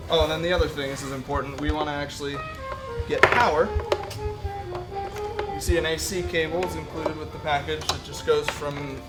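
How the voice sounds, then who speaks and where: Man, fairly high voice, informal tutorial presenter, practical, explanatory, conversational, clear music in the background. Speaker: equipment rental tutorial presenter. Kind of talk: informal hands-on product tutorial.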